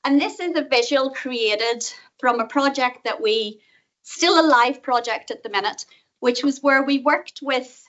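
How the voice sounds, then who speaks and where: A middle-aged woman with a Northern Irish accent giving a conference talk, quick, chatty and self-deprecating. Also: fairly high pitch, fairly bright tone, muffled recording. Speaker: guest speaker, professor. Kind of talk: conference presentation. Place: conference room.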